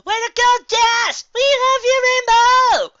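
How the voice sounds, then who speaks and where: A woman's voice: very high-pitched, steady tone, slow pace, bright, forceful tone, muffled recording, voice pushed up, full character voice. A woman playing a brash, boastful young pegasus pony with a cocky, showboating manner in a story reading. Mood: gloating.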